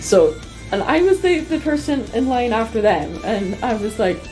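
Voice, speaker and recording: A woman telling personal stories to her viewers in a casual, chatty, expressive way, like an enthusiastic fan. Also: a little high, normal speed, soft background music, low-quality recording. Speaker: vlogger.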